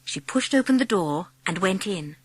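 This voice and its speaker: A woman narrating a dramatised storybook as an audiobook, with expressive, measured phrasing. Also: medium pitch, husky, dry recording.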